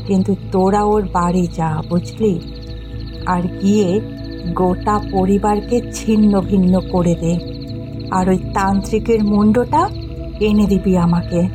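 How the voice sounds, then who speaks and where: A woman's voice: medium pitch, dark tone, natural voice. A woman acting a vengeful, ruthless occultist in a horror audio drama, issuing commands with menace.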